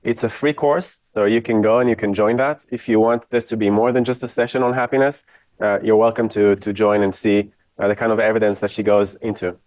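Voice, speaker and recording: A man from Israel lectures to a university class in a lowish voice. He talks off the cuff and states his opinions frankly. The sound is fairly dry, with little room on it. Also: steady tone, brisk, a little mellow, no room sound, poor audio.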